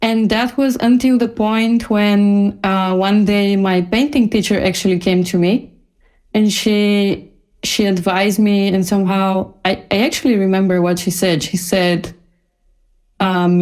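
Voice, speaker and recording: A woman's voice: mid-range voice, darkish tone. A woman from Bulgaria, a designer speaking casually as a guest in an interview.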